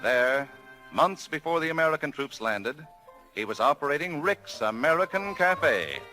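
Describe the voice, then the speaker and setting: High-pitched male 1940s radio announcer over music. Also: very animated, normal speed, bright, forceful tone, low-quality recording. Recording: vintage 1940s radio broadcast.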